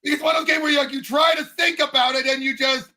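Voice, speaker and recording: A man co-hosting a casual pop culture talk, opinionated and animated, sometimes searching for his words. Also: extremely high pitch, fast talker, raised voice. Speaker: podcast co-host.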